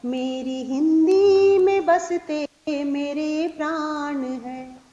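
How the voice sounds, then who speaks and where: A high-voiced woman sings her poetry in a sweet, tuneful way, unhurried and drawn out. The recording is roomy and a little dull. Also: mellow, soft background music. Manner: melodious singing of ghazal verses. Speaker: poet. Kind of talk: sung poetry recital.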